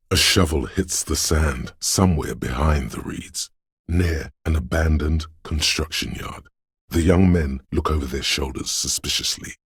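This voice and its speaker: Super deep husky game narrator, spirit of a city, solemn n poetic, really rough voice. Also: male voice, no room sound, clean studio sound, heavily acted. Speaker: video game voice actor, narrator. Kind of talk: slow atmospheric second-person narration.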